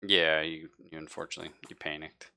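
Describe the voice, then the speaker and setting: A man narrating a live tabletop roleplaying game as game master, in a halting, conversational way. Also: very deep voice, level tone, pushed and bright, smooth.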